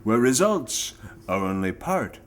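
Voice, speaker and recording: An actor playing an earnest, folksy old-time radio pitchman, with a theatrical salesman's manner in a parody sponsor ad. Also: very animated, measured pace, a little hiss or hum. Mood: grand, earnest pitch. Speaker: old-time variety show performer reading sponsor ads. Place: live on a theatre stage.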